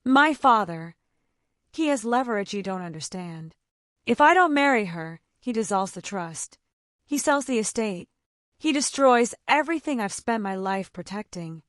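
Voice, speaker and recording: A woman's voice: mid-range voice, expressive, moderate pace, a bit bright, dry recording, studio quality, natural voice. A woman voicing a tormented young male heir who speaks in urgent, pleading tones.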